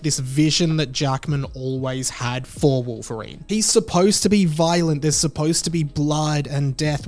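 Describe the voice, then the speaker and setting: A man delivering a conversational, opinionated video essay as a film commentary host. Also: fairly high pitch.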